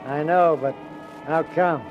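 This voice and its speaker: An actor playing a nosy, chatty American cab driver in an old-time radio drama, with slangy, ungrammatical working-class talk. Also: male voice, on the high side. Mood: chatty, curious. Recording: dull, noisy vintage radio recording.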